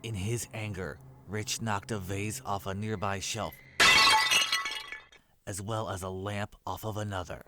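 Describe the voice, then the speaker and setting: A man's voice: on the low side, little pitch movement, unhurried, heavy background noise. A man narrating a scripted horror story as its storyteller.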